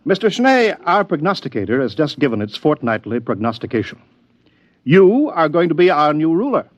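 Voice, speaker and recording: An actor playing an eager, formal corporate executive in a 1950s radio drama, polished and ingratiating. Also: male voice, on the high side, sing-song, moderate pace, no echo. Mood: genial, ceremonious. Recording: dull vintage radio recording.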